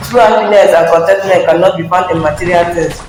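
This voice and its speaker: A man's voice: high-pitched, steady tone, fast, slight background noise. A young man giving a scripted devotional narration for a nativity play. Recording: echoey hall, long reverb, music underneath.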